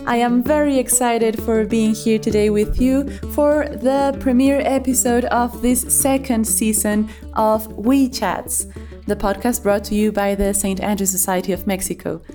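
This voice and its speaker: Mellow woman announcing over soft guitar. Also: on the high side, steady tone, measured pace. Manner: upbeat, announcing. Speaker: podcast host. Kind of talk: scripted podcast introduction. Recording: intro with faint guitar music.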